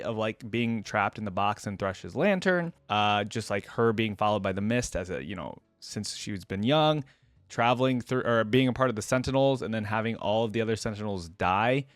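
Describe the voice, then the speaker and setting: A man's voice: mid-range voice, no echo. A young man giving casual, off-the-cuff reaction commentary as a video host, informal and rambling. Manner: informal, rambling, tags points with "right?".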